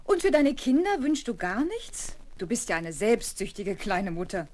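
A woman playing a kindly, patient governess in a children's radio play, affectionate and gently teasing as she reassures her charge. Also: medium pitch, sing-song, moderate pace, lightly acted. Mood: gently chiding. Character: kindly, patient young governess of a little girl. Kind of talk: 1970s German children's radio play acting.

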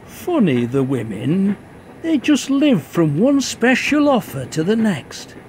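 A man's voice: sits high, wildly swooping pitch, darkish tone, heavily acted. A man playing a nostalgic, contrary football supporter in a radio play, talking in a ruminative way. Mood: musing. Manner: slow, ruminative. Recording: faint train noise behind.

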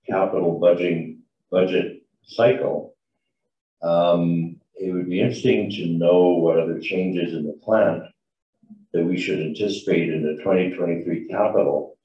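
Very deep-voiced man, a councillor. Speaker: town councillor. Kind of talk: formal questions at a council meeting. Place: large meeting room, indoors. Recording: distant, dull, echoey meeting room audio.

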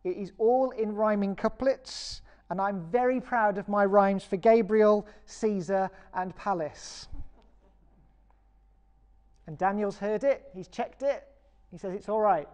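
Woman, British accent, mid-pitched relaxed voice, parish preacher, recorded sermon, earnest and encouraging delivery. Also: a little slow.

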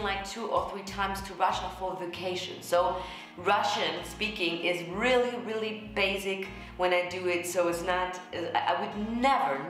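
A young woman vocal coach, a native German speaker, explaining herself in casual commentary to the camera with lively gestures. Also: medium pitch. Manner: animated, gestures a lot, explanatory. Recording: very echoey room.

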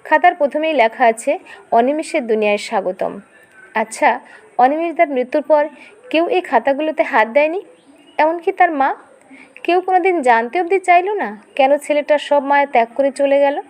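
Woman, high-pitched voice, story narrator, scripted dramatic reading, expressive and emotive delivery. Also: normal speed.

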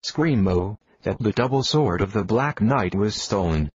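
A man plays a brash young cartoon hero with a husky, everyday voice and blunt, swearing talk. The sound is dry and a bit muffled, like a cheap home mic. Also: on the low side, animated, unhurried, no room sound.

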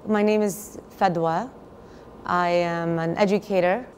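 Teacher lady from chicago, lowish voice, short plain answers, indoor hall. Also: steady tone, unhurried, a little hiss or hum. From Chicago, USA. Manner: brief, plain statements. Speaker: educator.

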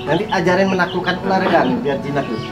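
A man, chatting casually in animated, repeated short phrases. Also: high voice, quick pace. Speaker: vlog co-host. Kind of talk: casual chat. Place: open carport in a village yard. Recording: loud music over outdoor talk.

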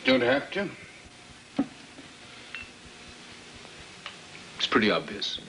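Middle-aged American man, weary nagging father, halting delivery, average pitch, 1950s film drama, noisy clicking soundtrack. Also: huge pitch swings. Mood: weary, bitter. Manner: halting, hesitant, with trailing tag questions.

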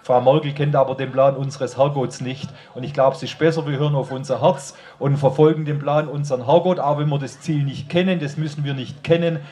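A man with a Swabian accent and a slightly high voice speaks from an outdoor stage. His delivery is informal and colloquial, as a rally speaker talking straight to the people in front of him through a handheld microphone. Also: steady tone, brisk, few pauses, mellow. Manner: colloquial, addressing the crowd directly. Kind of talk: informal speech at a political rally. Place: outdoor stage under an open tent.

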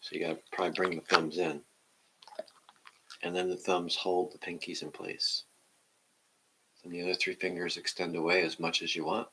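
A middle-aged man calmly guiding a meditation in a gentle, measured way. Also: sits low, noisy background. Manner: calm, measured. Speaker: meditation guide. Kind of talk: gentle guided meditation and reading aloud. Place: indoor room at home, seated on the floor.

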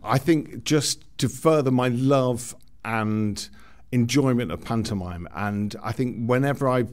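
A man's voice: mid-range voice, measured pace. Man chatting as a podcast guest. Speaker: student interviewee. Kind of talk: conversational interview answers.

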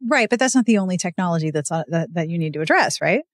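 Woman, podcast host, casual chat, quick pace, very dry studio-like sound. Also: fairly low pitch, animated, no room sound.

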